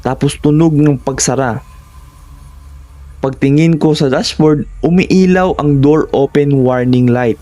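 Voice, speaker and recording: Filipino man narrating horror, mellow voice. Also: a little high, measured pace. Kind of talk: scripted first-person horror narration.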